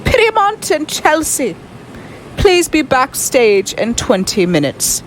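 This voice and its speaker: An actress in an amateur radio drama playing a debate judge, formal and measured as she announces. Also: high-pitched, wildly swooping pitch, slight background noise, full character voice, rough recording. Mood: formal announcing.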